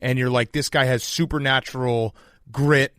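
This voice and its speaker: A man hosting a casual podcast interview, putting questions in an informal, slightly halting way. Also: mid-range voice, fairly even intonation, a bit bright, clean tone, no echo, clean studio sound.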